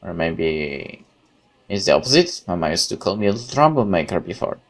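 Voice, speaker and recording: A man doing a let's play, reading game text aloud and adding chatty, expressive commentary. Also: sits low, fairly quick. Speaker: gaming YouTuber. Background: guitar music.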